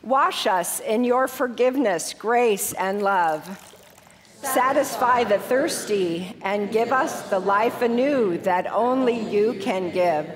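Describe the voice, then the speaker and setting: Congested woman pastor in a church. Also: fairly high pitch. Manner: warm, conversational announcements then measured liturgical prayer. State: congested with a head cold. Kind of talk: church welcome and liturgical prayer. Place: large church sanctuary. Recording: long reverb of a church hall.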